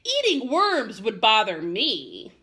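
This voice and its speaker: A woman voicing a timid, curious young male raccoon cub in an expressive, childlike way for a picture book. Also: a little high, slow, exaggerated voice. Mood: disgusted. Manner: expressive, childlike exclaiming and questioning.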